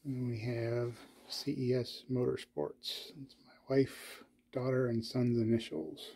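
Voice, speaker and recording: A man with a dark, gravelly, husky voice talks slowly through a walkthrough of his hobby room. His delivery is low-key and unhurried, with pauses and fillers between items. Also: fairly even intonation. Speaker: collector giving a tour. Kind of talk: casual walkthrough narration.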